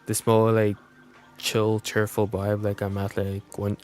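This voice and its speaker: A man's voice: lowish voice, completely flat delivery, a little slow, darkish tone. A man streaming a video game with casual, rambling, informal commentary. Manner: informal, rambling, mild swearing. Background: game music.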